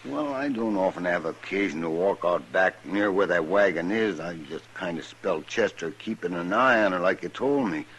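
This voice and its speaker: A man's voice: low voice, lively intonation, moderate pace, hardly any pauses, fairly bright tone, slightly rough, heavily acted, rough recording. An actor playing a plain, dutiful frontier stableman, folksy and respectful, in old-time radio. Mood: earnest, explaining.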